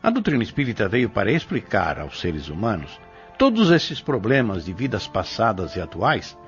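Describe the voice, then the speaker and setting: A man's voice: lowish voice, huge pitch swings, normal speed. Male Brazilian radio presenter, didactic and solemn, widely varied intonation, dull recording. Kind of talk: scripted, solemn didactic narration. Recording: dull audio, music behind. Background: music.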